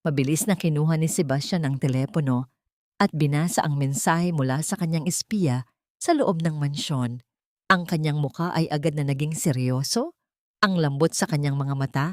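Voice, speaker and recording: A woman's voice: low-pitched, normal speed, a little mellow, no echo, clean studio sound. A woman with a Filipino accent reading a scripted dramatic love story in a solemn, melodramatic manner.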